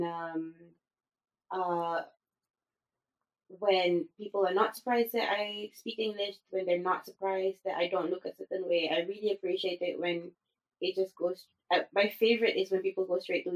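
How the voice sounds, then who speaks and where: Animated woman from Singapore, chatty guest. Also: mid-range voice, highs cut off. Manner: animated, many fillers and restarts, laughs often. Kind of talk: casual interview chat.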